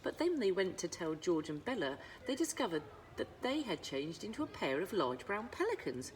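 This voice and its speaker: Woman storyteller, lower-pitched, lively intonation and dramatic emphasis, children's book narration, slight background noise. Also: normal speed, poor audio. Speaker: school librarian reading aloud.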